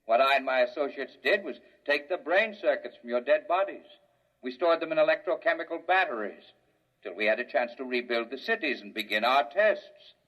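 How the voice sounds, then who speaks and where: A man playing a calm, menacing male scientist villain in a 1950s radio drama, old dull recording. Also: mid-range voice, brisk, natural voice. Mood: condescending.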